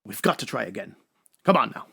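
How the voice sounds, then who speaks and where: Radio drama crime-fighter, gravelly and animated, earnest, worn down, acted by a man. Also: on the low side, brisk, heavily acted. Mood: weary, urgent. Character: earnest, weary male costumed crime-fighter, chained captive. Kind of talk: superhero radio drama acting.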